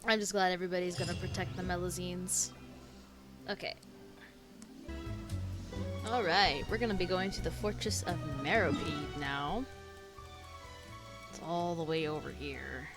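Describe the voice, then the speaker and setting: A woman's voice: fairly low pitch, a little slow, pauses often. A woman streamer giving playful, chatty, slangy live reactions to a game, with its music playing behind her. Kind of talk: casual live game commentary.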